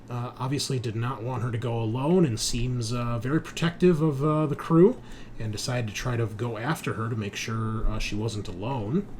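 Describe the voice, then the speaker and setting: A man's voice: mid-range voice, a little mellow, slight background noise. A man working as game master, recapping a tabletop game session in an informal, conversational storytelling way.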